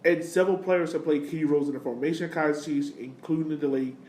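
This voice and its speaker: Male American podcast host, higher register, informal and slangy, recorded in an echoey, noisy room. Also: fairly even intonation, fast talker, a little mellow. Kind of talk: casual sports podcast chat. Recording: roomy echo, background noise.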